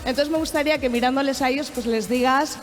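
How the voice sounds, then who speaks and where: A woman's voice: high-pitched, quick pace. A woman, co-hosting and asking questions at a live festival radio show. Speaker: radio co-host, interviewer. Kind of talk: casual live interviewing. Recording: echoing festival PA, loud music.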